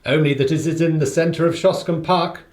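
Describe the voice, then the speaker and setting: An actor playing an affable, chatty gentleman companion in a radio play, with a British accent and emphatic, conversational phrasing. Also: a little high, steady tone, moderate pace, reverberant, lightly acted. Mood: emphatic, informative.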